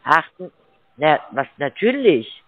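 Male call agent, pushy, stumbling, slightly high, muffled line. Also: sing-song, slow pace, no room sound. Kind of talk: phone sales call.

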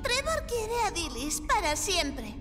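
A woman's voice: very high-pitched, takes it slowly, music in the background, exaggerated voice. A woman voicing an eager, curious young girl in a cartoon dub. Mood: excited. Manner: eager, excitable.

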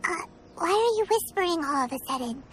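A woman voicing a tiny, excitable floating fairy companion in a video game who refers to herself in the third person. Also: extremely high pitch, fairly quick, background noise. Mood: curious. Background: game music.

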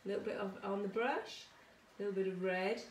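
A woman's voice: medium pitch, fairly even intonation, brisk. Middle aged lady doing a kids art tutorial, chatty n encouraging, bit far from the mic, faint noise behind. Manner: encouraging, chatty, checking in with listeners using tag questions. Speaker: artist leading a children's art workshop. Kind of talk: casual demonstration tutorial for children. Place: small conservatory-style home studio. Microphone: camera or phone a short distance away. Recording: roomy, distant mic, some background noise.

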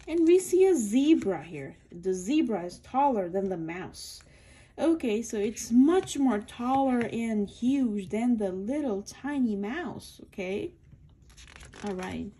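A woman with a soft-edged, mellow voice hosts a casual read-aloud for young children. She explains simply and checks in with short questions as she goes. The home recording carries faint noise and small clicks. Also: mid-range voice. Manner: simple explanatory talk, checking with "okay?" and "right?".

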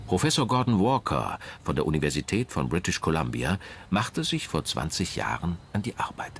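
A man reading scripted documentary narration in a measured, dramatic manner. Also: deep voice, slight background noise. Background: music.

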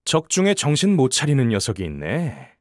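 A woman's voice: a bass voice, wildly swooping pitch, moderate pace, a little mellow, no room sound, clean studio sound, full character voice. A woman playing a cocky young military announcer in a game, informal and blunt, with teasing banter. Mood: casual, teasing. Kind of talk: scripted game voice acting, battle commentary.

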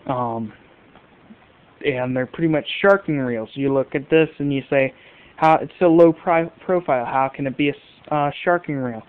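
A man's voice: mid-range voice, moderate pace, clean tone. A man hosting a homemade fishing gear video, talking casually and off the cuff, comparing products. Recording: muffled phone-like amateur camera audio.

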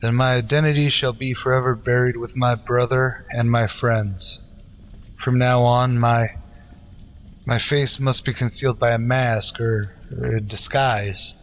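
A man's voice: medium pitch, fairly even intonation, a little slow, natural voice. A man playing an earnest, resolute lawman hero in an old-time western radio drama, speaking in solemn declarations. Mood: weary, determined.

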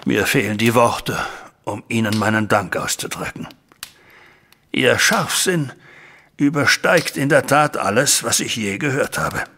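An actor playing a troubled older gentleman and father, formal and emphatic, with a gravelly voice, in a German audio drama. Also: mid-range voice, unhurried. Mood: moved, regretful. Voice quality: gravelly, raspy.

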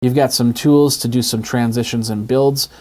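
Man, casual mid-pitched tutorial host. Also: steady tone, moderate pace, a little mellow. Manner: conversational, informal, explains step by step. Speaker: host of an app review web show. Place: small indoor home studio. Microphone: lapel mic.

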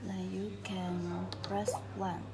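A woman giving step-by-step instructional narration in a halting, simple way of phrasing. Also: medium pitch, little pitch movement. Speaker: tutorial narrator. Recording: clearly noisy recording with room ambience.

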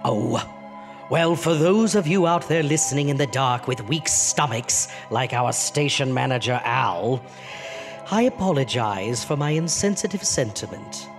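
A campy ghoulish male horror host relishes each alliteration theatrically. His delivery is slow and animated, with clear music behind him. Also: medium pitch. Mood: ghoulish glee. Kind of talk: campy horror radio hosting.

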